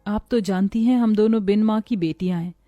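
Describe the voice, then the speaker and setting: Brief: a woman playing earnest young cartoon sister, pleading and warm-toned. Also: mid-range voice, fairly quick, dry recording, highs cut off, faint music behind, natural voice. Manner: pleading, emotional. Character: two poor young sisters, tender and tearful. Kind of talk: cartoon voice acting.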